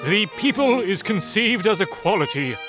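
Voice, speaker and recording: A man's voice: high-pitched, sing-song, normal speed, over music. A man giving scripted narration, solemnly reading out numbered points as a narrator.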